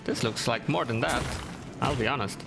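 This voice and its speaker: A man's voice: mid-range voice, normal speed, vocal fry. A man giving laid-back, casual let's-play commentary with dry teasing asides, over game music. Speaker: gaming video host.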